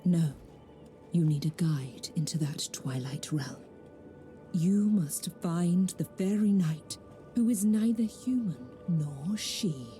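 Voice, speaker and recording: An ancient island goddess from a fantasy game, haughty and commanding. Her voice is fairly low with a whispery edge, and she takes her time over each phrase. Prominent music plays behind her. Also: mellow. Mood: cool, instructing. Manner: commanding, haughty, theatrical, deliberate. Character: ancient imperious sorceress goddess, ruler of a magical island. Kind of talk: dramatic fantasy game voice acting.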